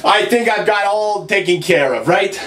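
An echoey, distant recording of a high-voiced, gruff-tempered plumber in a comedy monologue. He is a put-upon older brother who rambles crudely to his customer and keeps tagging phrases with "right?". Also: lively intonation, normal speed, fairly bright tone. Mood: grumbling, storytelling. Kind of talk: comic character monologue, chatting to a customer. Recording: roomy echo, distant from mic.

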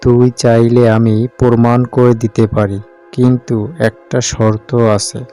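A man's voice: mid-range voice, little pitch movement, measured pace, mellow, clear, resonant tone, soft background music, lightly acted. A man playing a brave, confident young village tea-seller in a cartoon story, speaking in a defiant, plain-spoken way.

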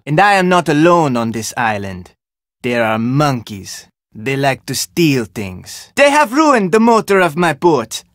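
A man's voice: high voice, fairly bright tone, no echo, studio quality. An actor playing a terse, suspicious, solitary fisherman with a Jamaican accent in a video game, speaking in formal, clipped phrases. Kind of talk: video game character dialogue.

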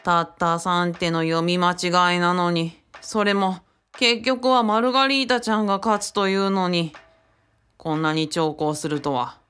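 A woman's voice: on the low side, fairly even intonation, a little slow, fairly bright tone, smooth. A woman playing a friendly, easily flustered young man in a radio drama, muttering and exclaiming with a Kyushu Japanese accent. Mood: pondering. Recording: music behind the voice. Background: music.

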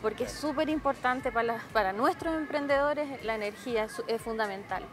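A woman's voice: fairly high pitch, a little fast, music in the background. A young woman hosting an outdoor interview for local web TV, upbeat and promotional, speaking into a handheld mic. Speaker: interviewer and host. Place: outdoor town plaza.

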